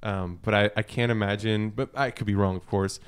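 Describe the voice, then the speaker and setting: A man with a low, clear voice talks casually as a podcast panelist. His delivery is conversational and a little hesitant, as if working out his thoughts while he speaks. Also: fairly even intonation, a little fast. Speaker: podcast panelist discussing video games. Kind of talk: casual chat.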